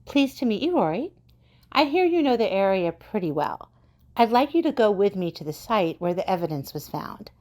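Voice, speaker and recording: A woman's voice: mid-range voice, very animated, moderate pace, darkish tone, lightly acted. Actress as a firm, businesslike woman investigator. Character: determined woman investigator seeking missing people. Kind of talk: radio drama acting.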